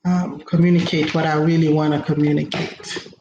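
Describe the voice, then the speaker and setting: A woman from Haiti whose first language is Haitian Creole, giving an inspirational talk as host, slow and reflective with frequent pauses. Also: low voice, level tone. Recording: roomy, dull lo-fi recording with faint noise.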